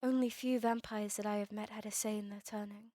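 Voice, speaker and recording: A woman playing a melancholy, courteous vampire in an ASMR roleplay drama, with formal, old-fashioned, measured phrasing. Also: mid-range voice, steady tone, mellow, no room sound, clean studio sound, lightly acted. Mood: wry, grave. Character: melancholy, courteous female vampire, turned young over a century ago.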